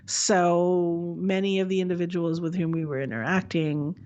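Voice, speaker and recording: A woman from the Texas Panhandle co-hosting a casual chat, blunt and quick with asides. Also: lowish voice, fairly even intonation, a little mellow, muffled recording. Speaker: podcast co-host.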